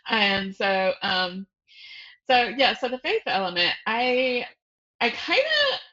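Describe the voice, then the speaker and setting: A woman's voice: fairly high pitch, slow pace, dull audio. A woman author being interviewed, chatting casually and telling stories by acting out thoughts and bits of dialogue. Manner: animated storyteller, acts out thoughts and dialogue.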